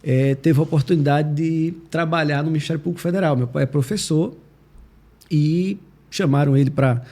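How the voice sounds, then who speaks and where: A man with a Northeastern Brazilian accent from Recife, answering interview questions in a reflective, halting, conversational way. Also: a little high, mellow. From Recife, Pernambuco, Brazil. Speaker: podcast guest, judge and law professor.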